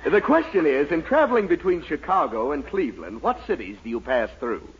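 A man's voice: on the high side, sing-song, a little fast, husky, heavily acted. A man playing a fussy, wryly indulgent postal examiner in a formal 1940s radio sitcom manner. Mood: officious. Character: fussy, amused, exasperated male postal examiner. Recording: muffled, very noisy 1940s radio recording, loud audience.

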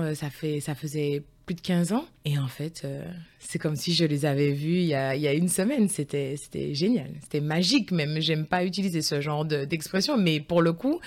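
A woman's voice: deep voice, a little mellow. A woman in her forties, a guest on a podcast, answering thoughtfully with many hesitations and restarts. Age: 40s. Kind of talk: conversational interview.

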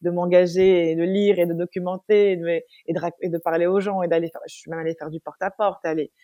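A young woman from France speaks as an interview guest in a relaxed, conversational way. Her voice is low-pitched and mellow, and she thinks aloud, often hesitating and restarting her sentences. The recording is dry and close. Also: quick pace, no echo, dull audio. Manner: reflective, many hesitations and restarts.

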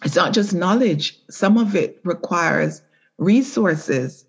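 Slow, earnest woman on a muffled line. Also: lowish voice, expressive, creaky, breathy edge. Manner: earnest, measured, with repeated restarts. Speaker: guest, former prosecutor and judge. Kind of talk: reflective interview talk.

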